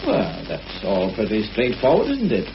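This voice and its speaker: A middle-aged male police inspector, genial but probing. His voice moves in lively swings, with polite hesitations and little hums. It sounds like a dull old radio drama recording. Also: on the low side, normal speed, creaky, background noise, heavily acted. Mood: affable. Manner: hesitant, polite, mild questioning with small hums. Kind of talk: British radio drama acting.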